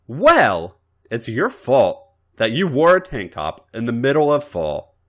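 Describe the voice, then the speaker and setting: A young man, exasperated yet protective, acted by a male narrator. He chides and grumbles with very lively swings of pitch, as a smirking figure in an audio story. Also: takes it slowly, exaggerated voice. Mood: chiding. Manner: chiding, grumbling.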